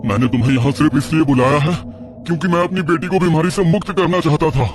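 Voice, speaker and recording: A man's voice: on the high side, quick pace, background noise, pitched above the performer's own voice, lightly acted. Man acting a desperate, agitated village sorcerer. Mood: panicked pleading. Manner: agitated, pleading, dramatic. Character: desperate village sorcerer, anxious father of a daughter. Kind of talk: Hindi horror audio drama acting.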